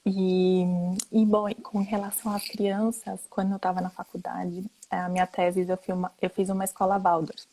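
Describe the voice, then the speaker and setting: A woman from Brazil talks as an architect guest in a relaxed online chat. Her voice sits at a middle pitch with a warm, dark edge. She explains things earnestly and conversationally. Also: normal speed, low-quality recording. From Brazil, grew up there. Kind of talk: casual live online chat.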